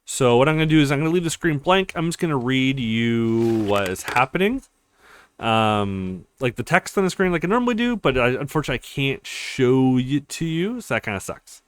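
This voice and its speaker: A man's voice: medium pitch, very animated, brisk, clean tone. A man hosting a let's play, talking casually over a visual novel with loose, hesitant asides. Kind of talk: casual game playthrough commentary, reading dialogue aloud.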